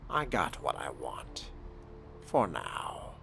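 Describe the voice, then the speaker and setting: A man role-playing a smooth, menacing, haughty young vampire in a game, speaking in a measured, theatrical, formal way. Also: rumbling low, huge pitch swings, pushed and bright, faint music behind, heavily acted. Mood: smug menace. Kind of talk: in-character role-play game commentary.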